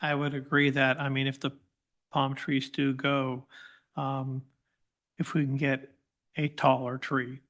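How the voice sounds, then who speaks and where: Man, mid pitch, flat delivery, commissioner, tentative suggestions. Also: unhurried, long pauses. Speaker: planning commissioner. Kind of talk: public meeting discussion.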